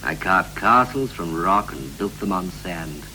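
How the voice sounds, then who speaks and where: An actor playing a wistful married father who looks back on family life in measured, poetic lines of a 1960s radio drama. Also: low-pitched, lively intonation, pushed and bright, exaggerated voice. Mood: nostalgic. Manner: measured, poetic, reflective delivery. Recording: noisy, humming 1960s radio recording.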